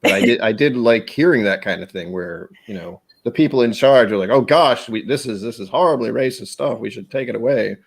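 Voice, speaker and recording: A man's voice: medium pitch, brisk, vocal fry, few pauses, slightly rough. A man hosting a whiskey tasting livestream, chatting casually in a jokey, rambling, self-deprecating way. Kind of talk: casual chat.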